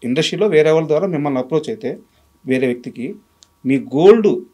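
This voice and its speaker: A man's voice: fairly high pitch, lively intonation, quick pace, mellow. A man interviewing in a conversational, deferential way, carefully explaining himself before he puts each question.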